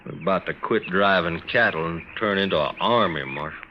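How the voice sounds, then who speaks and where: A man's voice: low voice, brisk, lightly acted. A man acting a terse, grim cattle trail hand in an old-time radio western. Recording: muffled, noisy old radio recording.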